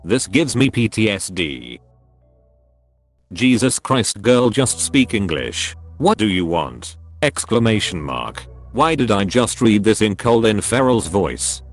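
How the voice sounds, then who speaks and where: Animated male story narrator, music behind. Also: fairly low pitch, slow pace. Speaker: narrator reading online stories. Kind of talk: scripted narration of forum posts.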